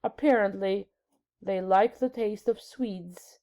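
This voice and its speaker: Storybook queen, played by a woman: regal authority, composed, matter-of-fact, mellow tone, unhurried. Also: mid-range voice, natural voice.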